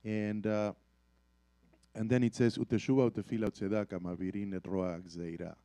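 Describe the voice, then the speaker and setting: Male study-class speaker: soft-edged low voice, deliberate delivery of quotations, close dry recording. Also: flat delivery, no echo. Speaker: class participant who chants liturgy. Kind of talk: deliberate discussion, quoting Hebrew liturgy.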